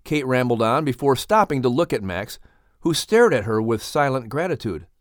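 A man's voice: medium pitch, animated, moderate pace, no room sound, studio quality. A man hosting and narrating a scripted animal story as a storyteller. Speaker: host and narrator.

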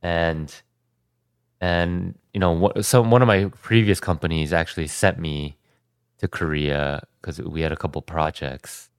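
A young American man with a very deep, smooth voice talks through his story in a loose, rambling way, restarting sentences as he goes. The sound is dry and close. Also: measured pace, no echo. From United States. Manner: relaxed, rambling, frequent restarts and fillers. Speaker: podcast guest. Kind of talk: casual conversation.

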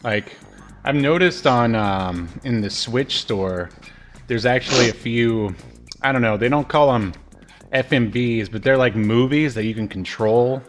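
A man's voice: medium pitch, measured pace, soft background music. A man co-hosting a podcast, talking in a casual, unscripted way. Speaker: podcast co-host.

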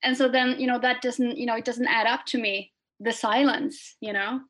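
A woman's voice: a little high, fairly even intonation, normal speed, a bit bright. A young woman from Norway, a guest speaking casually over a video call, hesitant with many fillers and restarts. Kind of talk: casual interview chat.

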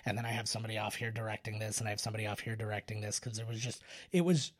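A man's voice: lowish voice, steady tone, very fast. A man co-hosting a casual film podcast, explaining at length in a rambling way and often interrupting himself. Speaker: podcast co-host. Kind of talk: casual film discussion banter.

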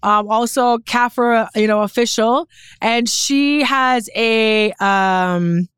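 A Canadian woman with a smooth, fairly high voice talks candidly as a guest in a casual interview. She tells stories with emphasis in a close, dry studio sound. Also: takes it slowly, a bit bright, dry recording. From Newfoundland, Canada. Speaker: guest, fashion photographer and creative director.

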